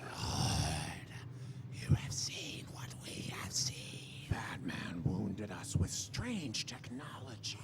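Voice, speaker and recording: A man playing a devout, savage frog-like monster with a croaky, breathy voice in a sci-fi stage drama. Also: on the low side, big pitch swings, slow, pauses often, whispered. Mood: reverent, hushed. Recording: very noisy stage recording.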